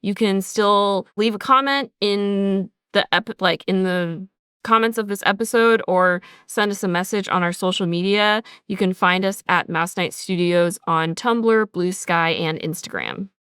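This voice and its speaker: A woman's voice: medium pitch, a little slow, a bit bright, smooth, no room sound, clean studio sound. A woman co-hosting a podcast in an informal, encouraging way, chatting casually with lots of "like". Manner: informal, encouraging, frequent "like" and "sort of". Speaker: podcast co-host and sound designer. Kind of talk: casual conversational podcast talk.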